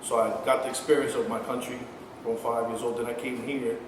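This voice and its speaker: A man's voice: medium pitch, level tone, a little fast. Man telling stories in an echoey room. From born in Texas, raised in Colombia and New York. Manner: laid-back storytelling, laughs often, swears. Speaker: podcast guest. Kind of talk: casual chat. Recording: echoey room from far off, faint noise.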